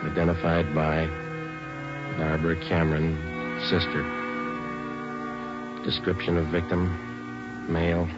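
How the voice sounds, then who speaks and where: An American actor playing a stoic, businesslike police detective in an old radio crime drama, with clipped, deadpan delivery. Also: male voice, low voice, measured pace, long pauses. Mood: flat, official. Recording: dull vintage radio recording, music behind.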